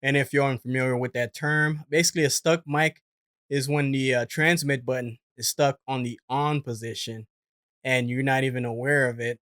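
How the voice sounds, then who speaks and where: A man's voice: mid-range voice, steady tone, normal speed, a bit bright, no room sound, studio quality. A man giving casual, conversational explanatory commentary as a host, in an informal manner. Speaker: host, former military air traffic controller.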